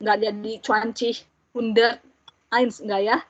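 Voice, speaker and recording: A young woman in her twenties teaching, explaining step by step and slowly repeating each example for learners. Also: on the high side, little pitch movement, a bit bright, no room sound. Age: 20s. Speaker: German language teacher. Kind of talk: slow, patient classroom explanation. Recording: dull, dry lo-fi audio.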